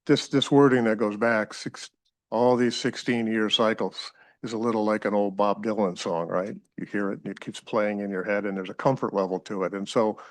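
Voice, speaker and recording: Man, board chair, rather low voice, dry sound, chatty and folksy. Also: no echo. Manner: folksy, rambling, fond of analogies. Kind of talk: chairing a public meeting.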